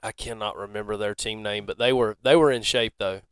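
A man's voice: fairly low pitch, steady tone, brisk, a bit bright, clear, resonant tone, no room sound, studio quality. A man with a Southern US accent telling stories in casual podcast chat, in a folksy, joking way.

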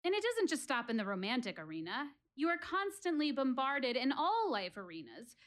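Woman acting, earnest wry philosophizer, high-pitched, very animated delivery, rhetorical, theatrical audio-play monologue. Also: moderate pace. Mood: defiant, exasperated. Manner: dramatic, rhetorical, addressing listeners directly.

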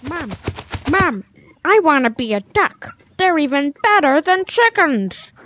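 A woman's voice: high-pitched, very animated, slow pace, fairly bright tone, dry recording, exaggerated voice. A woman voicing a naive, eager young boy calf in an audio drama, childlike and pleading. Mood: stubborn.

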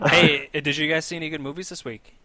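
Fast, bright-voiced teasing podcast cohost. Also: male voice, fairly high pitch, fairly even intonation, dry recording. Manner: teasing, quick, steers topics. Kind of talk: casual gaming podcast chat.

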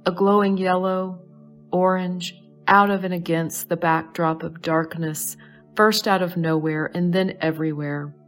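A woman with a slightly low voice leads a guided meditation. She speaks in short, soothing, measured phrases with pauses between them, over soft background music. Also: steady tone. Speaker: astrology advisor leading a guided meditation. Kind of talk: slow guided meditation narration.

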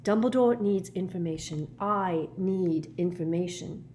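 A woman voicing a quiet, determined teenage boy wizard in a storybook, steady and insistent. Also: fairly low pitch, a little slow, a little hiss or hum, lightly acted. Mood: calm, determined.

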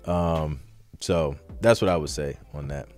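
A man's voice: extremely low pitch, a little slow, a little mellow. A man hosting a live question-and-answer podcast as a music producer, talking in an informal, slangy, rambling conversational way. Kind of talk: casual live Q&A chat.

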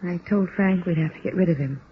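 An actress playing a resigned woman killer confessing in a halting, matter-of-fact way in a 1950s radio crime drama. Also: fairly low pitch, lightly acted. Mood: flat, resigned. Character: woman murderer flatly confessing, weary, resigned. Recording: muffled, noisy old radio recording.

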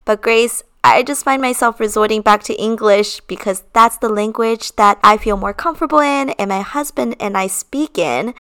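A woman's voice: a little high, normal speed, few pauses, fairly bright tone, smooth. A woman in her thirties from China, co-hosting a podcast in a casual, upbeat, partly scripted chat. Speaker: podcast co-host.

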